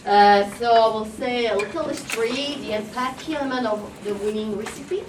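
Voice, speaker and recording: A woman's voice: on the high side, moderate pace. A woman whose first language is French, speaking with a French Canadian accent, giving a chatty conference presentation and searching for words now and then. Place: conference room. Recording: echoey hall, distant mic, audience noise.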